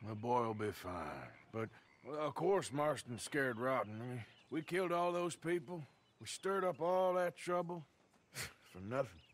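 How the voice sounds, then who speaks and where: An actor playing a gruff, world-weary outlaw gunman in a video game, plain-spoken and laconic. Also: mid-range voice, animated, measured pace, natural voice. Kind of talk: dramatic video game cutscene acting.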